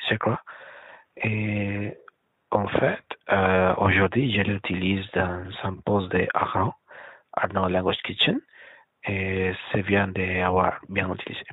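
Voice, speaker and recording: A man's voice: sits low, breathy edge, no room sound. A man from Peru, a native Spanish speaker with a Peruvian accent, giving an informal personal message. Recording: dry, phone-like narrow sound.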